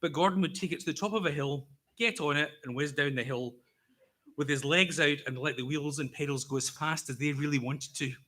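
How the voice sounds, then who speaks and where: Scottish man, 50s, bright tone, slow and careful, wry eulogy teller. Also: a little high. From Scotland. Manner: wry, gently humorous storytelling; deliberately slow, careful delivery. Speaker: eulogy speaker, brother of the deceased. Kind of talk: prepared memorial speech.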